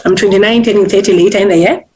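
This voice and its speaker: A woman's voice: medium pitch, steady tone, a little mellow. Candid woman author. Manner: candid, halting, with frequent ums and restarts. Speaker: author and guest. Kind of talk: personal storytelling in an interview.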